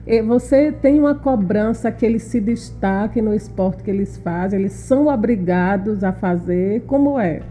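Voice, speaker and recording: Friendly woman host, mellow voice. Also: mid-range voice, faint music behind. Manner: friendly, affirming, quick follow-up questions. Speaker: interview host, mother. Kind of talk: interview conversation.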